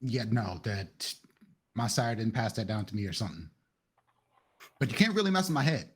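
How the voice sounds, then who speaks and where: A man with a husky voice, roleplaying casually as a player in a tabletop game, with a wry, dramatic, sweary manner. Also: on the low side, big pitch swings, moderate pace. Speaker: tabletop role-playing player. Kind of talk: casual in-character roleplay and narration.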